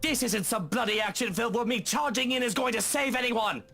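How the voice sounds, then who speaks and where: Casting: a bitter, sarcastic Briton in a horror game, high-pitched, sharp and animated over music. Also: male voice, fairly quick, vocal fry, heavily acted. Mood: exasperated sarcastic. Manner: sarcastic, indignant. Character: proud, bitter, exasperated husband in a horror game.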